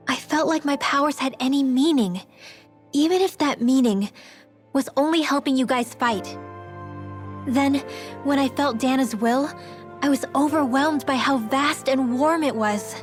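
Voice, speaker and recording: Earnest, high-pitched teenage girl mage. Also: measured pace, faint music behind. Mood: earnest, reflective. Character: earnest teenage girl mage, open-hearted and a little stubborn. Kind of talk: video game cutscene voice acting.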